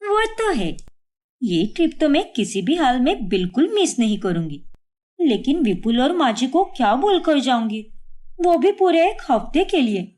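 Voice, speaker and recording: A woman voicing a scheming, sharp-tongued young wife in a cartoon story, speaking in a theatrical, defensive way. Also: high-pitched, big pitch swings, normal speed. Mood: delighted.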